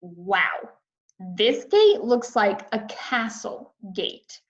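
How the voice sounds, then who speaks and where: Casting: eager young girl storyteller played by a woman, unhurried, childlike and emphatic, slightly muffled storybook recording. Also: medium pitch, very animated. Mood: earnest. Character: eager little girl narrating her sleepover adventure. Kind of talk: children's book read-aloud.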